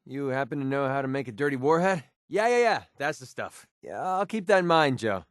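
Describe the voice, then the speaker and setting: A man's voice: mid-range voice, animated, normal speed, no room sound, studio quality, close to an everyday voice. A man as a wry, sarcastic young American mercenary. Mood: friendly, upbeat. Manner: sarcastic, casual, colloquial. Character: wry, world-weary young male mercenary with a soft streak. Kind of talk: video game character voice lines.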